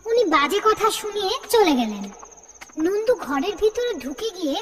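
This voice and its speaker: Woman narrator, very high pitch, lively intonation, children's fairy-tale storytelling, long reverb. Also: normal speed, a little hiss or hum.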